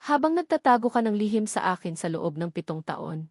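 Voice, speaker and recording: A woman's voice: medium pitch, big pitch swings, a little fast, darkish tone, no echo, studio quality. A woman voicing a betrayed wife in an audio love drama, bitter, accusing and emotional. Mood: bitter, hurt.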